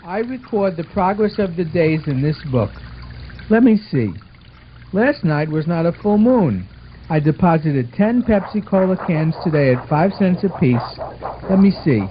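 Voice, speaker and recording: A man's voice: high voice, big pitch swings, unhurried. A man voicing an earnest, orderly twelve-year-old boy in a scripted puppet show, explaining things in a bossy, affectionate way. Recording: muffled amateur recording with watery noise.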